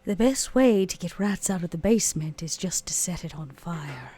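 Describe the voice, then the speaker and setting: A woman voicing a sly, coolly scheming vampire in a tabletop roleplay game, measured and arch with hesitant pauses. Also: on the low side, animated, warm tone, husky.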